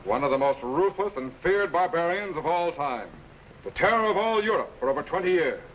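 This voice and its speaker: An actor playing a commanding, knowledgeable scientist captain in a 1950s sci-fi serial, giving crisp orders. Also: male voice, on the high side, lively intonation, fairly quick, lightly acted. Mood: explaining. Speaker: time machine captain. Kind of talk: 1950s radio-style sci-fi acting. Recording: dull lo-fi old broadcast with faint hiss.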